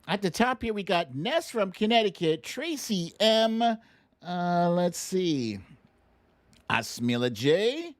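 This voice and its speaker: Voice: mid-range voice, very animated, slow pace, pauses often. An American livestream host chatting casually and reading out viewer names in a playful, self-deprecating way during a song guessing game.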